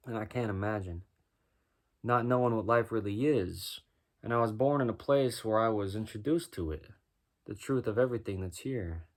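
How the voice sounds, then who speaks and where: A man with a deep voice doing a rhyming spoken-word freestyle, musing and preaching as he goes. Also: normal speed. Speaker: freestyle musician.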